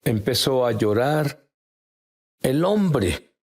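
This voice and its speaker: A man hosting a faith show, telling testimonies in a slow, deliberate way with small hesitations. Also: mid-range voice, very animated, darkish tone. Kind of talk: storytelling testimony, Spanish voice-over.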